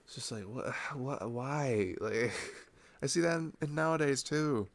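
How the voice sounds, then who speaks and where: A young man playing a sweet, introverted college-age boyfriend in an intimate ASMR roleplay, rambling casually and affectionately in a halting way. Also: medium pitch, animated. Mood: affectionate, earnest. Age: young adult. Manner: rambling, halting, chuckling asides.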